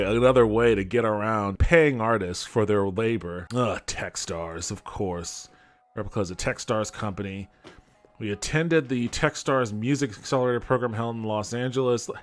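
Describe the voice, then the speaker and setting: A man giving casual, critical commentary as a podcast host, with a skeptical, sarcastic way of talking. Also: mid-range voice. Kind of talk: casual critical commentary, reading web copy aloud. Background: background music.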